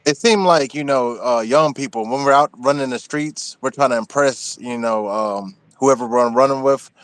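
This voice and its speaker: Calm man asking interview questions, dry sound. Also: medium pitch, moderate pace, no room sound. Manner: calm, asks short questions and reflects.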